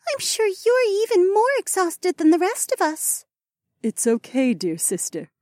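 A woman performs a devoted, earnest spirit maiden in a fantasy story. The voice is high and moves around animatedly in pitch, recorded close and dry. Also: animated, measured pace, darkish tone, dry recording. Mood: sympathetic.

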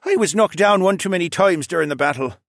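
Man putting on a raised-pitch old fox father. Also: lively intonation, fast talker, vocal fry, fairly bright tone, no echo, studio quality, heavily acted. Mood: weary. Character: weary old male fox demi-human, fond father.

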